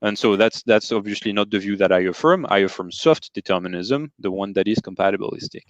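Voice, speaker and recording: A man's voice: lowish voice, fast, dry recording, lo-fi sound. A man from France with a French accent, a guest philosopher who explains ideas carefully, defining terms step by step. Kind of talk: careful explanatory interview talk.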